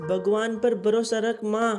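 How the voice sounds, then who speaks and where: An earnest young goat-herding boy in a cartoon, voiced by an actor, with a mellow, soft-edged tone. Faint music runs behind him. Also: medium pitch, measured pace, lightly acted. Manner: earnest, excitable. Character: poor young village boy who herds goats. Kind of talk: cartoon voice acting.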